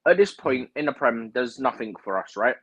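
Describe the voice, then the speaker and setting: A man's voice: medium pitch, animated, normal speed, husky, dry recording, muffled recording. A man on a fan livestream giving opinionated, rambling football analysis, often checking in with "right?". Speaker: guest pundit on a fan livestream. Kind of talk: casual football chat. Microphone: remote livestream mic, drifting in and out.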